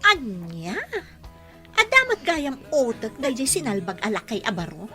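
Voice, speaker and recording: A scheming female friend, played by a woman in an audio drama. Her highish voice is lively and varied, with clear music behind it. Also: normal speed. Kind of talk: Ilocano audio drama acting.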